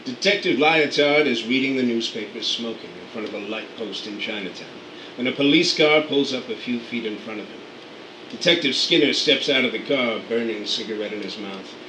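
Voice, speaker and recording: A man narrating radio play scene directions in a steady, matter-of-fact way. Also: medium pitch, a little fast. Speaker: narrator reading stage directions. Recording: roomy, distant mic, air conditioning hum.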